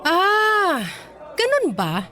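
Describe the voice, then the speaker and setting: Mataas ang boses, mabagal na maybahay. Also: female voice, very high-pitched, wildly swooping pitch, very slow, crowd noise, heavily acted. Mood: suspicious, uneasy. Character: married housewife and mother, anxious, probing friend. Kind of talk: Filipino radio drama dialogue.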